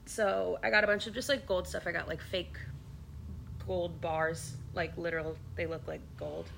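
A woman's voice: fairly low pitch, lively intonation, moderate pace, gravelly, a bit bright, slight background noise. A young woman co-hosting a podcast in casual chat, a bit sniffly from allergies, chatty and laughing. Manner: chatty, laughing, drops to a whisper for secrets. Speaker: podcast co-host.

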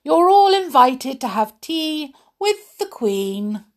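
A woman voicing a diminutive male king who speaks soothingly and coaxingly to his wife, with a slightly breathy voice. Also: high-pitched, very animated, slow, voice pushed up, exaggerated voice. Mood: mock-innocent. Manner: sweet, coaxing.

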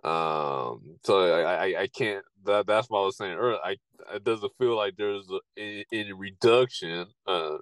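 Low-voiced halting man, dry sound. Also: clean tone, no echo. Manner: halting, repeats words while thinking. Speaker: podcast co-host. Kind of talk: casual chat.

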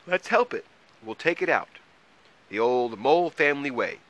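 A man's voice: medium pitch, animated, moderate pace, a bit bright, pitched above the performer's own voice, lightly acted. A man voicing a practical, easily exasperated but kind-hearted mole in a children's story, speaking earnestly and emphatically.

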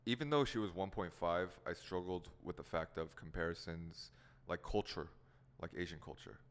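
A man's voice: sits low, normal speed, in a large hall. A young man from the Seattle area whose first language was Korean, hosting a casual podcast chat with a laid-back, rambling manner and frequent laughs. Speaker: podcast host.

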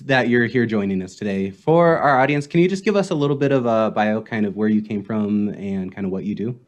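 A man co-hosting a podcast, jokey, with quick lively interjections. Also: lowish voice, muffled recording. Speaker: podcast co-host. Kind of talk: casual interview chat.

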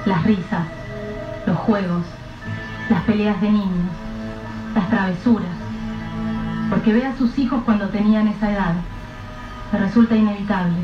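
A woman narrating a radio drama in a measured, grave storytelling manner. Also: mid-range voice. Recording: roomy, dull, distant mic with soft music.

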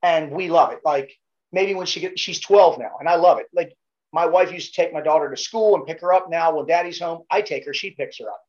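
A man's voice: on the high side, fairly quick, lo-fi sound. A middle-aged man with a Baltimore accent, a podcast guest telling laid-back, profane stories in casual chat. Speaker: podcast guest, former Navy SEAL.

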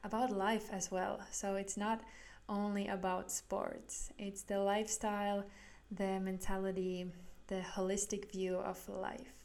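A woman's voice: medium pitch, level tone, a little slow, creaky, darkish tone. A woman in her twenties from Finland with a Finnish accent, hosting a solo podcast in an earnest way, often restarting as she searches for words. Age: 20s. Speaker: podcast host.

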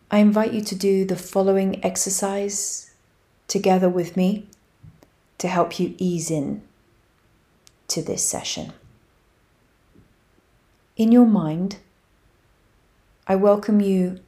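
Slow soft-spoken woman guiding meditation. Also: fairly low pitch. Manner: slow, soft and even, with long pauses. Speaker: yoga nidra guide. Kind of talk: guided meditation.

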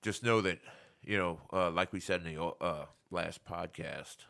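Deep-voiced, forceful male co-host; earnest preacher-style podcast talk. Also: unhurried, creaky. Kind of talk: casual faith podcast chat.

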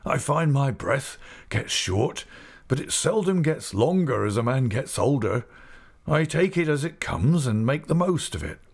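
A man's voice: mid-range voice, very animated, unhurried, pitched above the performer's own voice, exaggerated voice. A man reading a storybook, voicing a genial, short-winded old male shopkeeper in his later years. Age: older. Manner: chatty, breathy asides.

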